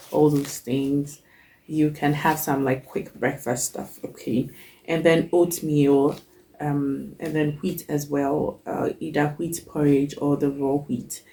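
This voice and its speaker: A woman's voice: deep voice, a little mellow, rough recording. A young woman hosting her cooking channel, chatting casually through a list with frequent ums while she has a cold. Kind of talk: casual talk to camera.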